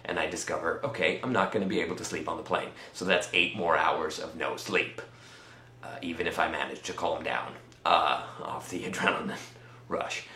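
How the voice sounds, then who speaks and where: A man with a Swedish accent telling a personal story in a casual, rambling vlog style, halting and pausing often as he goes. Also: low voice, fairly even intonation, pushed and bright, reverberant. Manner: halting, conversational delivery with many pauses. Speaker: vlogger.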